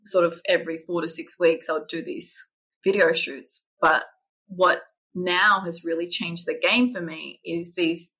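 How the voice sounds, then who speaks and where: Husky-voiced woman hosting a podcast. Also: fairly low pitch, bright, forceful tone, poor audio. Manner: enthusiastic, rambling, uneven pace. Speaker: podcast host. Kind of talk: casual interview talk.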